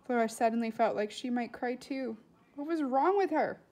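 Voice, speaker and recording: A woman reading a storybook aloud as an expressive narrator. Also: on the high side, normal speed.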